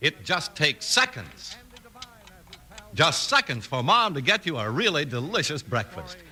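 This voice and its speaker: A man's voice: on the high side, sing-song, measured pace, crowd noise. An American man announcing in an emphatic, polished 1950s radio style, reading scripted sponsor copy and narration. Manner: emphatic, polished sales and story delivery. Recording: vintage radio broadcast with music. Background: music.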